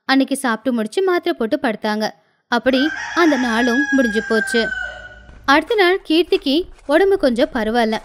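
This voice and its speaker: A woman narrating a scripted moral story as the storyteller. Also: high-pitched.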